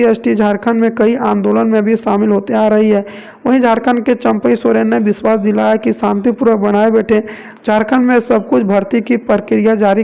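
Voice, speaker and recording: A man's voice: high voice, vocal fry, a little mellow. A man from India narrating news reports as a presenter, leaning on repeated stock phrases.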